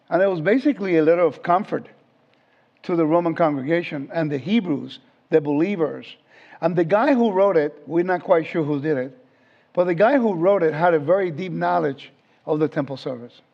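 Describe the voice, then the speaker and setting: A man's voice: on the high side, vocal fry. Man from Puerto Rico teaching scripture. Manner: conversational, asks the audience questions. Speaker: Bible teacher. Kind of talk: informal Bible teaching to a live class. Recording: dull lo-fi sound.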